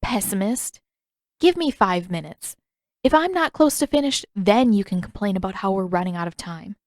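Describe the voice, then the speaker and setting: A woman voicing a confident, teasing teenage girl in an audiobook-style story, with a brisk, playfully sarcastic manner. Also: medium pitch, expressive, darkish tone, no room sound, studio quality, close to an everyday voice. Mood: cocky.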